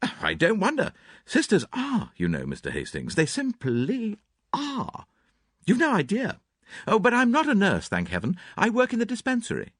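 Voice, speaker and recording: A man playing a spirited young woman in a storybook reading, light and teasing. Her voice sits high and moves with wide swings of pitch. It sounds close and dry. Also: wildly swooping pitch, moderate pace, breathy edge, dry recording, heavily acted. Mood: playful. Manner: bright and playful. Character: lively, cheeky young woman.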